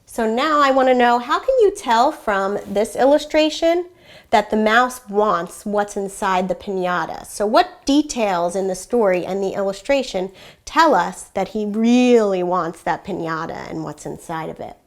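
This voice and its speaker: A woman teacher reading a children's story aloud in an expressive, deliberate way, with hushed asides and questions for young listeners. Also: medium pitch.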